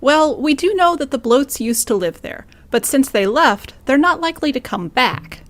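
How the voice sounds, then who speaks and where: Woman voicing gentle motherly creature leader, high pitch, reasoning, dry studio-like sound. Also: lively intonation, normal speed, no echo. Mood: resolute. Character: caring, resolute mother creature and village leader.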